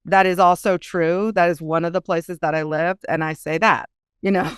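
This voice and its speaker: Clear-voiced woman in casual interview. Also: on the low side, fairly even intonation, normal speed, no room sound, studio quality. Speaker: podcast guest, author.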